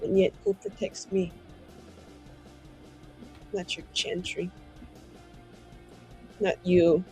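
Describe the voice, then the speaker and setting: A player of unclear sex voicing a bitter, proud and defiant mage in a tabletop game, with clipped, deliberate, cutting delivery and a husky voice. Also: mid-range voice, level tone, dark tone, soft background music. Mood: bitter, resentful. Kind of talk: in-character improvised dramatic dialogue.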